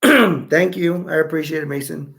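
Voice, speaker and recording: A man hosts a live chat stream, reading out viewer comments and wandering from one thought to the next. His voice sits somewhat high and has a gravelly fry in it. It is getting hoarse as he talks. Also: lively intonation, moderate pace, a bit bright. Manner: rambling, self-correcting, chatty. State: voice going hoarse.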